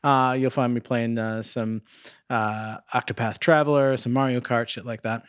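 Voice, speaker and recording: A man's voice: mid-range voice, steady tone, normal speed, no room sound, clean studio sound. A man co-hosting a podcast in casual chat, laid-back, self-deprecating and happy to swear. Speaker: podcast co-host.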